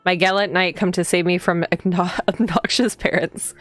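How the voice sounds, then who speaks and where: A woman's voice: on the low side, fairly even intonation, moderate pace, fairly bright tone, no room sound. A woman streaming a game, chatting casually and reading aloud in a playful, teasing manner. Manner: playful, teasing, giggly asides to viewers. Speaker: streamer playing a visual novel. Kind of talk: casual live gameplay commentary and reading aloud. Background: game music.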